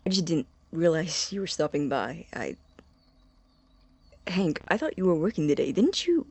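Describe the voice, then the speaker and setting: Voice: medium pitch, very animated, normal speed, creaky, a little mellow, breathy edge, dry recording, full character voice. An actor playing an earnest, awkward young man in audio drama dialogue, speaking politely and hesitantly. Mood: flustered, tender.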